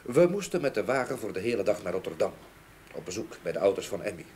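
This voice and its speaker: An actor playing a sober, earnest gentleman witness in a radio play, speaking in a measured, factual way. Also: lowish voice, very animated. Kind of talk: Dutch radio play acting. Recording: slightly noisy old radio drama recording.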